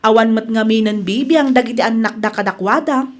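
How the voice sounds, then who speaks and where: A woman's voice: a little high, a little fast, lightly acted. A woman with a Filipino Ilocano accent playing a kind, generous storekeeper wife in a radio comedy-drama. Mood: considerate.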